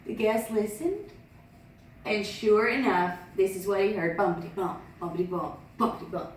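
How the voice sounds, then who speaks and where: A woman reading a picture book aloud as a storyteller, lively, with sing-song sound effects. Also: medium pitch, moderate pace, slight background noise. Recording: echoey room, distant mic.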